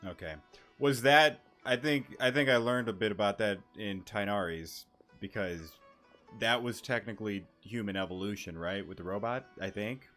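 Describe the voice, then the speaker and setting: Guy streaming a game, low voice, sarcastic jokey commentary, swears, faint game music. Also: moderate pace, a bit bright. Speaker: gaming streamer. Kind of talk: casual let's-play commentary.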